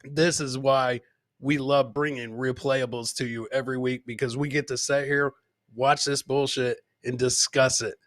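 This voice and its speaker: A man's voice: mid-range voice, little pitch movement, normal speed, fairly bright tone, no echo, clean studio sound. A man hosting a podcast, chatting casually and informally with swearing and plenty of filler words. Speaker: podcast host. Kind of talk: casual live comedy chat, reading and answering viewer comments.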